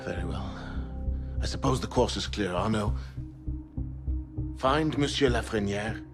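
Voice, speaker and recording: Commanding assassin mentor, low-voiced man acting. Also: natural voice. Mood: composed. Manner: formal, commanding, deliberate. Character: authoritative male mentor leading a secret assassin council. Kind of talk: dramatic game cutscene acting. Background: loud music.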